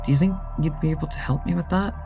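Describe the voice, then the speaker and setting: A woman's voice: deep voice, fast, gravelly, over music. A woman playing a nervous, polite young vampire in an audio drama, hesitant and stammering. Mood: meek, hesitant.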